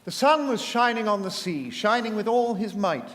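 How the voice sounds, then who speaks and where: A man narrating verse as an actor in an expressive storytelling recital on a theatre stage. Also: sits high, normal speed. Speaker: actor reading poetry as narrator. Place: theatre stage before an audience. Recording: reverberant theatre hall.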